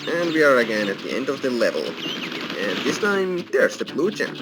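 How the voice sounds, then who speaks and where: A man's voice: medium pitch, very animated, normal speed, heavy background noise. A man giving casual walkthrough commentary as host of a gaming video. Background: loud game music.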